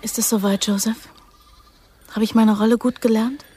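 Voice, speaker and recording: An actress in a German radio play playing a young recruit torn by love, vulnerable and wry, in a hushed, intimate manner. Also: female voice, on the high side, steady tone, normal speed, breathy edge. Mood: hesitant.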